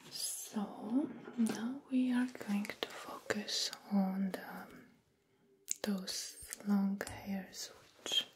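Slow whispering woman, soft ASMR role play. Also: medium pitch, fairly even intonation. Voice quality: breathy, whispered. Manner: gentle, unhurried, soothing. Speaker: ASMR performer playing a beard groomer. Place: small quiet room indoors. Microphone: close microphone.

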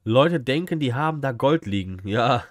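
Man, streamer, casual reaction chatter, colloquial exclamations, lively intonation, clear background noise. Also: medium pitch, moderate pace. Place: indoor streaming room. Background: outdoor flea market video noise.

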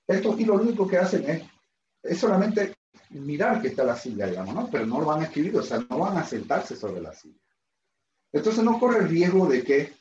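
A man's voice: mid-range voice, a little mellow. A middle-aged man gives a chatty, self-deprecating online lecture and keeps asking his students questions. His headset picks up a roomy echo, and the call audio sounds slightly dull. A faint hum sits under his voice. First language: Spanish. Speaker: university lecturer. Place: room at a whiteboard, indoors.

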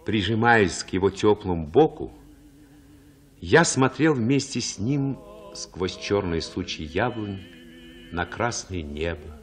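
An actor narrating boyhood memories in a radio drama as a reflective man, husky and expressive, with literary phrasing. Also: on the low side, slow, faint music behind. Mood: reflective, tender.

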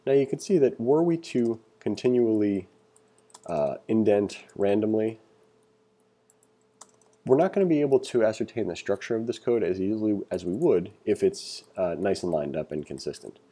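Male coding instructor, low and very soft-edged, relaxed tone, informal tutorial read-along. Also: normal speed. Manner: casual, thinking aloud.

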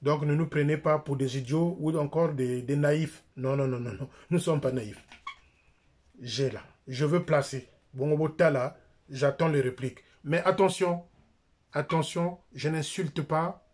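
A man's voice: a little high, measured pace, darkish tone. A middle-aged man with a Congolese French accent, speaking straight to a close phone camera in a small room, insistent and repeating his points. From Republic of the Congo. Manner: insistent, repeats phrases, jabs with his finger. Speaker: church member and critic. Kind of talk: direct-to-camera denunciation.

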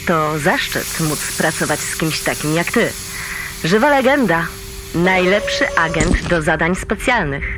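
A woman's voice: fairly low pitch, animated, a little slow, rough recording. An actress playing a chatty, teasing female agent handler in a sci-fi audio drama, with playful banter. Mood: admiring, chatty. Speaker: mission handler.

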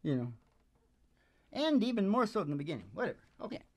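A man's voice: high-pitched, big pitch swings, fast talker, no echo. An older man, a musician hosting a show, chats casually and meanders in a folksy way into a close stage microphone. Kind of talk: rambling casual stage banter. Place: small curtained performance stage indoors. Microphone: stage microphone on a stand, close up. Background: acoustic guitar.

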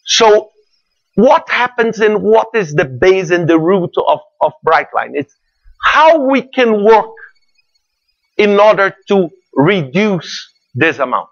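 A middle-aged man from Brazil with a Brazilian Portuguese accent, giving an earnest conference talk in a large hall, halting with fillers and repeats. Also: sits high, big pitch swings, highs cut off. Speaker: conference speaker. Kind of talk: keynote talk.

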